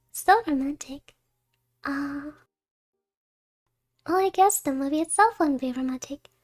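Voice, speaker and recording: A woman's voice: high voice, slow pace, pauses often, a little mellow. A young woman playing a doting, shy wife in a romantic ASMR roleplay, speaking in a cutesy, hesitant way. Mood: playful, romantic. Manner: cutesy, hesitant, giggly, drifting into whispers.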